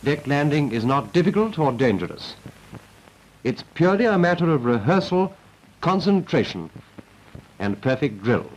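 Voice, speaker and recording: A man playing a brisk British naval instructor, narrating a 1940s training film in a clipped, didactic, emphatic way. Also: fairly high pitch, big pitch swings. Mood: brisk, instructive. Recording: noisy vintage 1940s film soundtrack.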